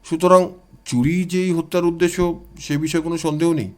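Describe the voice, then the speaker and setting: A man's voice: a little high, steady tone, brisk, natural voice. A man voicing a courteous, troubled police inspector who explains things politely in an audio story. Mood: explaining.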